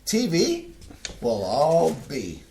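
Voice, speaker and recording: A woman plays a gruff, protective older family man in a stage play. The low voice swings widely in pitch, in an echoey, noisy room. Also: wildly swooping pitch, drawn-out words, mellow, exaggerated voice. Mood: gruff, challenging. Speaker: actor in a staged play reading. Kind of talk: scripted community theatre acting. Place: indoor playhouse staged reading. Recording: echoey room with clear background noise.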